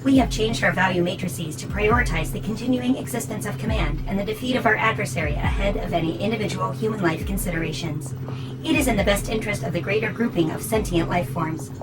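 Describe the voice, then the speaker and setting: A coldly logical servant robot played by a woman speaks with formal, precise politeness. The delivery is matter-of-fact audio drama acting, set over clear background music. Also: mid-range voice, fast, close to an everyday voice, poor audio. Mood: politely menacing. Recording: music behind the voice.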